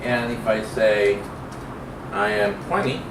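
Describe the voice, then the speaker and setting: Slow-talking male instructor in a roomy, noisy recording. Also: mid-range voice, very animated. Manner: halting, thinking aloud while typing. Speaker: programming instructor. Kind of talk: informal classroom lecture with live coding demo. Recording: roomy, distant mic, clear background noise.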